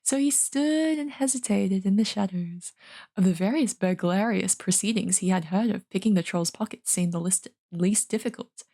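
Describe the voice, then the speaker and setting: Husky woman reading a fantasy storybook. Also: medium pitch, normal speed, dark tone. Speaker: streamer reading an audiobook. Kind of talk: dramatic storybook narration.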